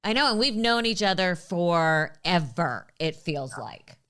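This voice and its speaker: A woman's voice: fairly low pitch, expressive, a little slow, fairly bright tone, clear, resonant tone. A woman hosting a podcast, introducing and interviewing a guest in a conversational style. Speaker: podcast host.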